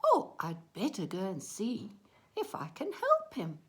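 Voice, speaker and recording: A woman's voice: medium pitch, wildly swooping pitch, measured pace. A woman voicing a kindly, worried stallion who draws out neighing words in a children's story. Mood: concerned.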